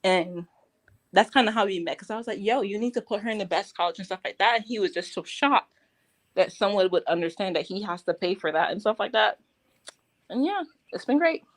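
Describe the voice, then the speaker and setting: A woman's voice: mid-range voice, brisk. A woman from Barbados, a guest on a casual live-stream chat, bubbly, often laughing and trailing off mid-sentence.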